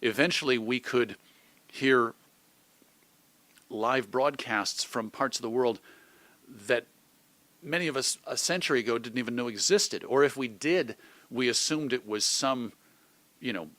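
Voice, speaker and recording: Bright-voiced middle-aged American man. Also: mid-range voice, unhurried, pauses often, no echo. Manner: reflective, articulate. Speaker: interview guest, radio host and editor. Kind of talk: thoughtful conversational interview.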